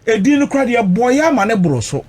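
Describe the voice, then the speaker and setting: A man's voice: extremely high pitch, big pitch swings, racing, a little mellow. A Ghanaian man recounting personal experience emotionally, mixing Twi and English, emphatic and dramatic, repeating phrases for weight.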